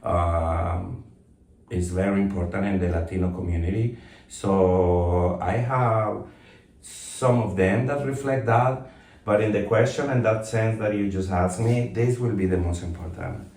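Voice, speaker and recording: Low-voiced man in his fifties, from Colombia. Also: fairly even intonation, measured pace, darkish tone. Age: 50s. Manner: reflective, with frequent fillers and restarts. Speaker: guest. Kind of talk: casual interview. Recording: roomy echo, mic at some distance.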